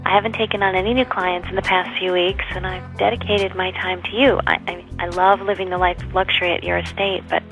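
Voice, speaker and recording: A woman's voice: lowish voice, flat delivery, a little fast, few pauses, fairly bright tone, faint music behind, poor audio. An actress playing an earnest, pressing live-in girlfriend in an audio soap opera. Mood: earnest, pleading. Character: earnest, probing live-in girlfriend, career-minded.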